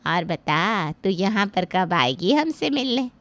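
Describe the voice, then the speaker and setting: A woman's voice: medium pitch, sing-song, slow pace, fairly bright tone, exaggerated voice. A woman voicing a doting middle-aged mother who fondly coaxes her daughter over the phone. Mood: affectionate. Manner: affectionate, coaxing.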